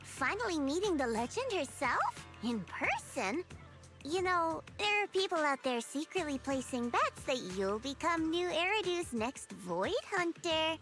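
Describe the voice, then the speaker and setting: A woman's voice: sits high, big pitch swings, measured pace, fairly bright tone, soft background music, heavily acted. A woman voicing a teasing, self-assured young female agent in a video game, with a playful, smug, coaxing delivery. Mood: breezy, playful.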